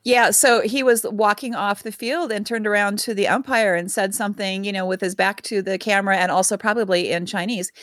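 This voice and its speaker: A woman co-hosts a podcast and tells stories in a relaxed, chatty way, tossing in wry asides. She speaks at a middle pitch, and the sound is dry and close to the mic. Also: a little fast, hardly any pauses, no room sound. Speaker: podcast co-host. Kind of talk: casual podcast chat.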